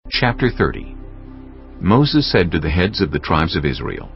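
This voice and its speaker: Very deep, gravelly male narrator. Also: big pitch swings, normal speed. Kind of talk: scripted scripture narration. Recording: dull lo-fi audio with music.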